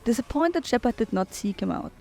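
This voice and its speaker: A woman's voice: on the high side, expressive, brisk, gravelly, darkish tone, faint music behind. A woman giving her opinions in commentary on a video game playthrough.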